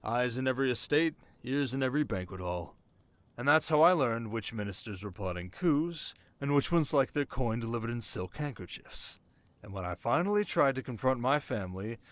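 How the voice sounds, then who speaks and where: An actor playing a sharp, haunted young king in an intimate ASMR romance roleplay, talking wryly and dramatically to his listener. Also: mid-range voice, sing-song, moderate pace, no room sound, lightly acted. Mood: bitter reminiscing. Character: young desert king, sharp, wry, haunted, teasingly tender.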